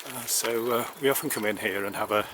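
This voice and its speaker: Male vlogger, rambling and polite, outdoors on a partly taped camera mic with clear street noise. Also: medium pitch, brisk. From Wales. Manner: rambling, many hesitations, chatty and polite. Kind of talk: casual vlog narration. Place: outdoors by a road and in a country park. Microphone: handheld camera mic, partly taped over. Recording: outdoor camera audio with traffic and wind noise.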